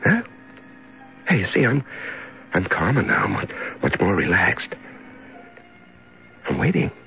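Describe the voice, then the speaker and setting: Radio drama prisoner, fighting panic, gravelly murmured self-talk, dull old broadcast sound. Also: male voice, low voice, huge pitch swings, exaggerated voice. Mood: self-soothing. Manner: halting, murmuring self-talk, breathy outbursts. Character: frightened male prisoner in a dark room, fighting panic. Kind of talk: 1970s radio drama acting, soliloquy. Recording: dull 1970s radio recording.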